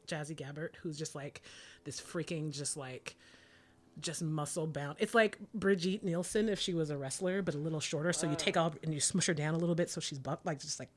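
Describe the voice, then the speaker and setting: A woman guest on a casual podcast, talking hesitantly with many fillers. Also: sits low. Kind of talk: casual chat.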